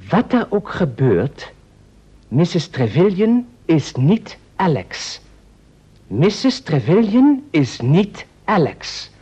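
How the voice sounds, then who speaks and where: An actor in an old radio play, playing a flustered, apologetic gentleman who talks in a hesitant, fussy, polite way. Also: fairly high pitch, breathy edge, exaggerated voice. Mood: puzzled, excited. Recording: muffled vintage radio recording.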